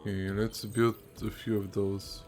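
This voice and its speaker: A man doing low-key, casual live commentary on a video game, talking to himself as he plays. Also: flat delivery, a little slow, a little mellow, slight background noise. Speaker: game streamer. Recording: faint game sounds behind voice. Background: video game ambience.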